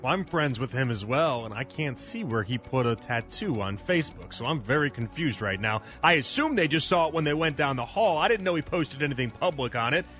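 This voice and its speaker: A man's voice: medium pitch, a little fast, hardly any pauses. A man in his thirties hosting sports talk radio, arguing his points with rhetorical questions. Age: 30s. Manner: animated, argumentative, rhetorical questions. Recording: slightly dull radio broadcast with faint music.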